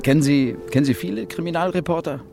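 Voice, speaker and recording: A man's voice: fairly high pitch, fast talker, gravelly, music in the background. A man playing a wry, slightly awkward young journalist in a naturalistic radio drama, with a dry, ironic manner. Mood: awkward, eager.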